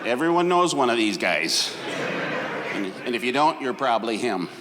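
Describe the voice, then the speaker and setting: A man from Wisconsin with a Wisconsin accent, performing a crude, animated stand-up comedy set on a club stage. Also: medium pitch, moderate pace. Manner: animated, crude, jumps into exaggerated character voices. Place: comedy club stage before a laughing crowd. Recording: live hall with loud crowd noise.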